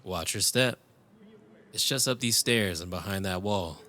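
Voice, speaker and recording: A male voice actor as a young cyberpunk lover, speaking slowly in a lowish, bright, crisp-edged voice. He is tender and wry, teasing softly with small hesitations, as in an intimate romance audio drama. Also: slight background noise. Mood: affectionate, wry.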